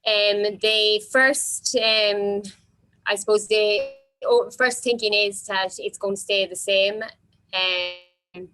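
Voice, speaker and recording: A woman's voice: medium pitch, steady tone, slow pace, pushed and bright, low-quality recording. An Irish woman organiser answering questions informally, explaining things in a hesitant way.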